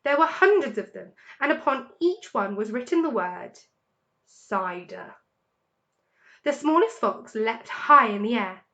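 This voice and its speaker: A woman narrating a children's story in an expressive, dramatic storytelling manner. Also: a little high, measured pace, muffled recording. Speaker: story reader.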